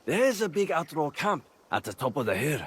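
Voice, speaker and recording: A cheeky, sly informant in a game scene. His husky voice runs a bit high and leaps all over in pitch as he teases wryly. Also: sing-song, normal speed, full character voice. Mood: sly, playful. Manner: teasing, sing-song, wry. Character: sly, playful male informant, cheekily self-satisfied. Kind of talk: video game cutscene acting.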